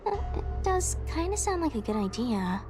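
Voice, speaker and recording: A woman's voice: high-pitched, sing-song, moderate pace, darkish tone, slight background noise. A woman voicing a brash, blunt young girl in a video game, sassy and a little impatient. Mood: sassy, skeptical. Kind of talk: animated video game voice acting.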